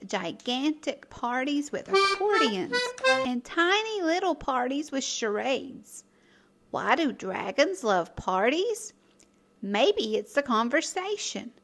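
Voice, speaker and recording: A woman reading a children's story aloud in a playful, expressive way, speaking directly to her listeners as narrator and host. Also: high voice, heavy background noise. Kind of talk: animated read-aloud storytelling.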